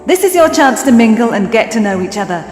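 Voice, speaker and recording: A woman's voice: fairly high pitch, sing-song, fast, darkish tone. Breezily regal queen in an echoing hall. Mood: breezy. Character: human queen, confident bride-to-be, breezily regal. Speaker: actor in an audio drama. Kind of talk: audio drama acting, public address. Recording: echoey reverberant hall, music behind.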